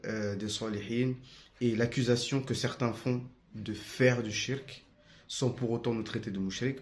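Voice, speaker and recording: A man's voice: fairly low pitch, a little mellow, a little hiss or hum. A young man from France giving an unscripted, defiant monologue to camera, with many hesitations and restarts. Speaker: religious video commentator.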